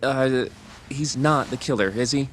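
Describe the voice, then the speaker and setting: Casting: male actor as a green young attorney, medium pitch, sincere, easily flustered, video game drama. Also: a little slow, a little hiss or hum, close to an everyday voice. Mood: flustered, unsure. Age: young adult. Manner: earnest, hesitant, flustered. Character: eager, naive young male rookie lawyer. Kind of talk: English video game dub acting.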